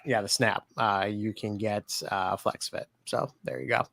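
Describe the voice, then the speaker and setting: Bright punchy guy cracking jokes on a live show, super dry sound. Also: on the low side, steady tone, unhurried, no echo. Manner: upbeat, joking, plugging merch. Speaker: co-host. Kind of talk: casual livestream chat.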